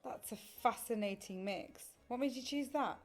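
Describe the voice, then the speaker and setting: A woman's voice: a little high, moderate pace, soft background music, close to an everyday voice. A woman acting in an audio play as a fascinated, flirtatious dinner guest with an eager, inquisitive manner. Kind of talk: audio drama dialogue.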